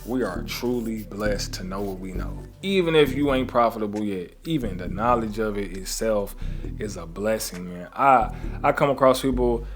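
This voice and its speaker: A man's voice: on the low side, normal speed, faint music behind. Earnest man giving a motivational talk. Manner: conversational, often adds "right?" and "you know what I'm saying?"; earnest, preaching gratitude with faith references. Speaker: trading coach.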